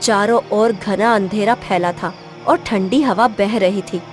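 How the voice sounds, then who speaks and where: A woman narrates a fairy tale in a medium-pitched voice. Her delivery is scripted and dramatic storytelling, with clear background music. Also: unhurried.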